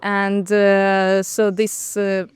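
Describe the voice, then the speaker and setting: Hesitant Russian-born woman, clear voice. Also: medium pitch, monotone, very slow. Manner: hesitant, many fillers and restarts, soft laughs. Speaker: architect, interview guest. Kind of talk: casual interview conversation. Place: outdoors on a bench at a city event.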